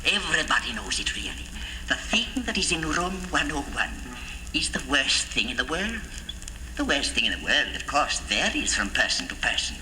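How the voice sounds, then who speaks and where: An actor playing a menacing, coldly instructive interrogator in a deliberate, patronizing style of old radio drama. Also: male voice, mid-range voice, sing-song, noisy background, close to an everyday voice. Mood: sinister, measured.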